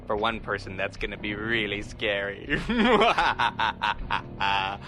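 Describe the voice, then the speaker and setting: Very slow, theatrical male host. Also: lowish voice, expressive, music in the background. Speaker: podcast host. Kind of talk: theatrical spooky episode introduction.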